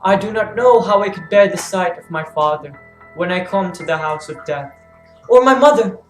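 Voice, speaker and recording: A woman's voice: fairly low pitch, lively intonation, normal speed, echoey room, soft background music, natural voice, low-quality recording. A woman playing an anguished, self-cursing blinded king in a dramatic reading of Greek tragedy. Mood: defiant.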